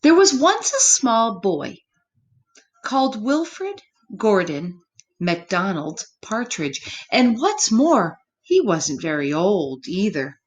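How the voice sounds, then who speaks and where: A woman leads story time for small children at a very unhurried pace. Her medium-pitched voice rises and falls widely as she speaks in simple phrases and puts gentle questions to her young listeners. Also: big pitch swings, lo-fi sound. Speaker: storyteller reading a picture book to children.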